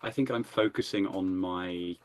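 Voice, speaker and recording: A man playing a tabletop game, explaining his character's thoughts in a thoughtful, halting way. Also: low voice. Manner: flat, thoughtful, slightly halting. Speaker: player. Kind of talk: casual tabletop play.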